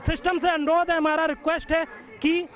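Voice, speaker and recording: A man's voice: very high voice, level tone, brisk. Fervent young man at a street protest. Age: young adult. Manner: fervent and insistent. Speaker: protesting exam candidate. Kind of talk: impassioned interview statement. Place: outdoors at a crowded street protest. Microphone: handheld mic. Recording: dull sound, crowd behind.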